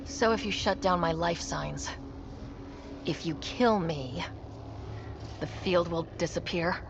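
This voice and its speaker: An actress playing a plucky, wry young space explorer in a video game, casual yet determined in manner. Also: female voice, lowish voice, slow. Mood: tense, resolute. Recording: dull sound with clear background noise.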